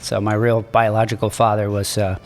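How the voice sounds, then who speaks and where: Gravelly older man, native French speaker. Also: lowish voice, completely flat delivery, big-room sound. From Morocco. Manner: unhurried, halting, earnest. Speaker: podcast guest. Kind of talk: reflective storytelling in an interview.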